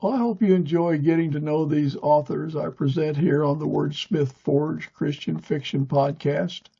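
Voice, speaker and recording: Husky, mellow man hosting a podcast. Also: fairly high pitch, steady tone, normal speed, dull audio. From United States. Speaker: podcast host and interviewer. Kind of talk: conversational interview and podcast hosting.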